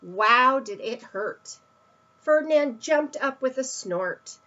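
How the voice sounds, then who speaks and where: A woman's voice: sits high, lively intonation, slow, bright, forceful tone, dull audio. A woman reading a children's picture book aloud, expressively, with emphatic stresses and asides to young listeners. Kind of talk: read-aloud children's storytelling.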